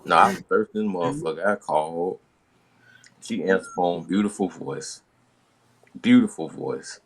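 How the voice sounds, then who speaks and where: A man's voice: sits low, moderate pace. An American man hosting a podcast, casually telling stories from his younger days in slangy, profane talk, acting out the dialogue as he goes. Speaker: podcast host.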